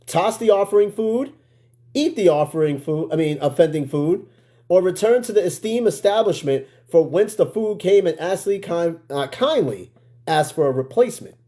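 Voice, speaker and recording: A man's voice: high voice, expressive, normal speed, mellow. An American man hosting a podcast in casual livestream chat, slangy and prone to swearing, with conversational asides to his listeners. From United States. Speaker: podcast host.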